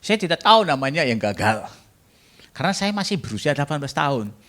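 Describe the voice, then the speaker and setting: A man's voice: a little high, sing-song, fast talker, fairly bright tone. A man preaching a sermon in a jokey way, bantering with the congregation and mixing in English phrases. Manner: jokey, banters with the congregation and asks them to raise hands.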